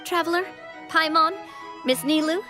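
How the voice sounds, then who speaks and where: A woman's voice: extremely high pitch, normal speed, pushed and bright. A woman voicing a confident, personable young female leader in a video game, assured and explanatory. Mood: confident, resolute. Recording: faint music behind.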